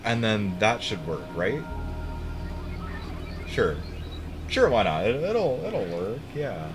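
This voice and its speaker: A man's voice: lowish voice, very animated, slow pace, music in the background. A young American man casually chatting while playing a game on a live stream, rambling, swearing and restarting his sentences. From United States. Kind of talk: casual gaming stream chat.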